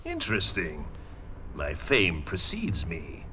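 A man's voice: deep voice, wildly swooping pitch, slow, sharp tone, a little hiss or hum, full character voice. An actor playing a theatrical, mocking male clown villain in a video game cutscene, with a sing-song, swooping delivery.

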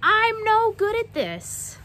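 Casting: woman to play emotional little storybook ninja girl, very high pitch, big exclamations, slight outdoor noise. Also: huge pitch swings, slow, sharp tone, exaggerated voice. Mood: frustrated, despairing. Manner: dramatic, exclaiming. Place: outdoors on a wooden backyard deck.

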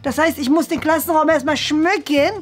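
A man's voice: very high-pitched, fairly even intonation, brisk. A young man playing a nerdy, eager schoolboy in a classroom comedy sketch, fussy, pleading and hesitant. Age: young adult. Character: nerdy, eager schoolboy desperate to impress his father. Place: small bright classroom, indoors. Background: faint music.

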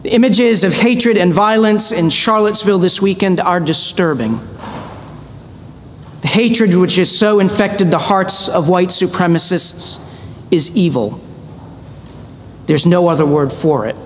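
A middle-aged man with a notably high voice delivers an earnest sermon from a church pulpit, slowing down to lay weight on his key phrases. His words carry a long echo through the sanctuary, with a faint low rumble beneath them. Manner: measured, earnest preaching with slow emphatic phrases. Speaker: priest.

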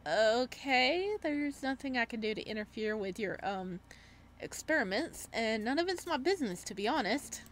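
A woman's voice: a little high, animated, moderate pace, slight background noise, natural voice. A woman voicing a blunt, caring, exasperated university student in a visual novel. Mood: resigned.

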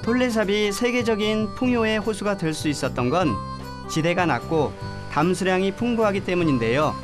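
A man giving calm, measured scripted voice-over narration for a travel documentary. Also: high voice. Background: background music.

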